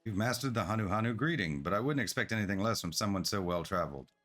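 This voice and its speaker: A man's voice: lowish voice, animated, fast, fairly bright tone. A man reading the part of an eager, polite merchant in a game, with effusive, formal sales patter. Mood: welcoming. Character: eager, polite merchant founder of a travel agency. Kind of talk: game quest dialogue read aloud. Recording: music behind the voice.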